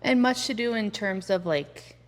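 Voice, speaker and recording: A woman's voice: medium pitch, animated, moderate pace, in a large hall. A woman from Montana, a mother of four, talking casually in an interview, chatty and prone to restarting her sentences. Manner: chatty, trails off and restarts, talks over partner.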